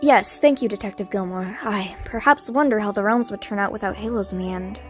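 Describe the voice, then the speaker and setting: A woman playing a formal, courteous queen in an amateur animated movie. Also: medium pitch, a little fast, faint music behind, natural voice.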